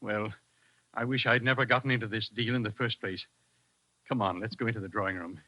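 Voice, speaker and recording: A man's voice: lowish voice, steady tone, fairly quick, pushed and bright, no echo. An actor playing a nervous but polite businessman in a 1940s radio drama. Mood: uneasy. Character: uneasy, nervous male businessman, polite host. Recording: dull vintage radio recording.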